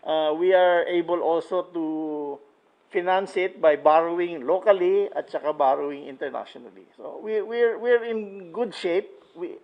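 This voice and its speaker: Male official, Filipino accent, high-pitched with vocal fry. Hesitant, self-correcting briefing style. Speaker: government official. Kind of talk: informal economic briefing. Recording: dull, muffled sound.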